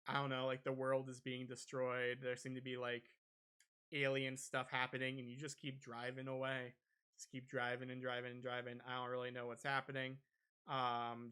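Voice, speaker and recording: A man's voice: medium pitch, sharp tone, clean tone. A man hosting a podcast, talking casually and a little hesitantly through gaming news. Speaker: podcast host.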